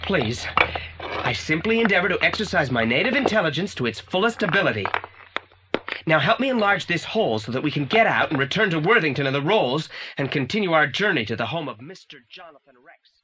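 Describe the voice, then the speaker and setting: A man's voice: fairly high pitch, very animated, fairly quick, noisy background. An actor playing a pompous, unflappable teenage boy detective in an audio drama, speaking in precise, formal, long-winded sentences. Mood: self-assured.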